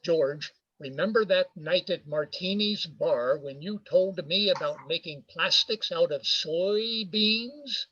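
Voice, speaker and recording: Radio drama: male actor as a jovial, teasing big shot, high-pitched and slow, hearty in his boasting. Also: bright, forceful tone, husky, heavily acted. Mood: boastful. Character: brash, jovial male businessman, George's old pal. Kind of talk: amateur radio play acting. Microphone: video call. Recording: muffled video call audio.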